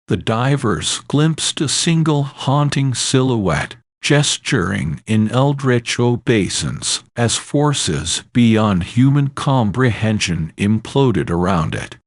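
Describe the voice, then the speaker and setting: A man reads a horror story with theatrical menace. His voice sits low and moves through a wide, animated range. He takes his time over each phrase, sounding ominous and grave. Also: no room sound, clean studio sound. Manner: dramatic, ominous storytelling delivery. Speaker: narrator. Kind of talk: scripted horror story narration.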